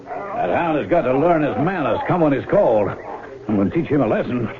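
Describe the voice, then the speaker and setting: An actor playing a lecherous, sly older farm brother with drawling, insinuating folksy talk in a radio drama. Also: male voice, mid-range voice, sing-song, a little fast, crowd noise, close to an everyday voice. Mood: angry. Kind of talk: 1970s radio drama acting. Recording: tinny, noisy old radio broadcast.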